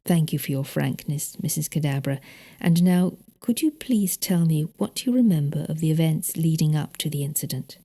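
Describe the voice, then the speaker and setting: Woman voicing a mellow, courteous British male investigator. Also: low-pitched, lively intonation, slightly rough, full character voice. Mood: courteous, probing. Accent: British English. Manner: courteous, measured, questioning. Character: polite, probing, reserved male investigator. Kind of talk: audiobook character dialogue.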